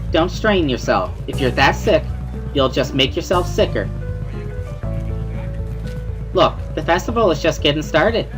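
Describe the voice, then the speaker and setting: A man's voice: on the high side, lively intonation, a little slow, long pauses, voice pushed up. A man voicing a cheerful, chatty teenage schoolgirl in a dramatic reading of a visual novel, with a bubbly, friendly manner. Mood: concerned. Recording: music behind the voice.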